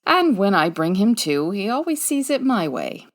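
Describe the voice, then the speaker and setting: Woman as breezy forties private eye gal, wry, bossy, super animated pitch, radio comedy. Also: moderate pace. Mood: breezy, confident. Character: quick-witted, breezy 1940s female private detective. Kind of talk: comedic 1940s radio mystery acting.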